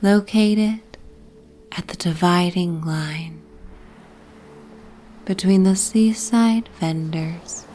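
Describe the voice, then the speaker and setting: A woman narrating a bedtime sleep story in a soft, breathy, half-whispered, soothing ASMR manner. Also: on the low side, very slow, pauses often, slight background noise.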